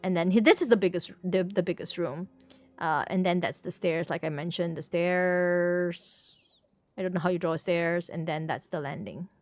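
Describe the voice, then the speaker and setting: A woman's voice: on the low side, steady tone, moderate pace. A woman livestreamer explaining things casually to viewers in a rambling, playful way, repeating words and correcting herself as she goes. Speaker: livestream host.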